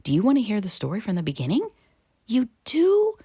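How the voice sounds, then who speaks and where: A naive, wide-eyed little snowman, as a woman reader puts him on for a children's storybook read-aloud. He is cheerful and chatty, with big swoops in pitch and a husky edge. The sound is close and dry. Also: wildly swooping pitch, brisk, warm tone, dry recording, full character voice. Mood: excited, friendly.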